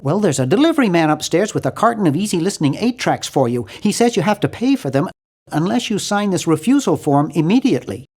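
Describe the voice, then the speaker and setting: A man voicing a nerdy, earnest and anxious young hero in a video game, with a fussy, animated delivery. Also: high voice, fast talker. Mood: insistent. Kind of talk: video game character voice lines.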